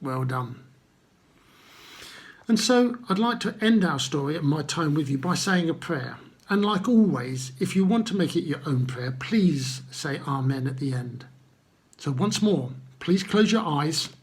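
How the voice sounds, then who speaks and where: A man telling stories to children and addressing them gently, with simple, unhurried phrasing and guiding questions. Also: fairly high pitch, lively intonation, breathy edge. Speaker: children's Bible storyteller.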